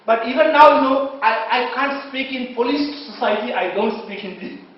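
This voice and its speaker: A man's voice: extremely high pitch, moderate pace. A man with an Indian English accent giving a university lecture, often searching for words with fillers and restarts. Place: lecture hall. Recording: far-off, very echoey, dull lecture hall recording.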